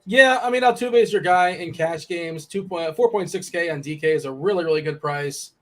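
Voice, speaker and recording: A man's voice: on the high side, fairly bright tone. A young man analysing fantasy baseball picks in casual chat, rambling and correcting himself as he goes. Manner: rambling, self-correcting, quick asides. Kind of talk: casual sports betting chat.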